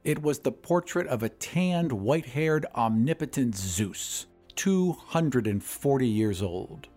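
A man reading fiction as a podcast narrator, in an expressive, scripted storytelling style. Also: mid-range voice, slow pace, soft background music.

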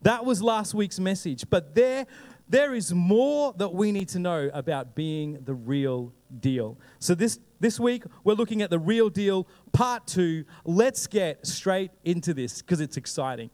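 A young man with an Australian accent, preaching a sermon and telling a lively story, often asking the congregation questions. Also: high-pitched, measured pace, big-room sound. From Queensland, Australia. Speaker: pastor.

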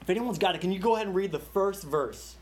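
A man's voice: high-pitched, fast talker, slight background noise. Chatty young man leading a Bible study. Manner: chatty, many fillers and restarts. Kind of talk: casual sermon storytelling.

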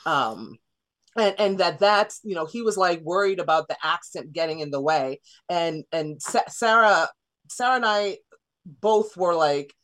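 A woman's voice: lowish voice, lively intonation, unhurried, a bit bright. Chatty woman, radio interview guest. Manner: chatty, with many fillers and restarts. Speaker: theater artist and guest. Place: radio studio.

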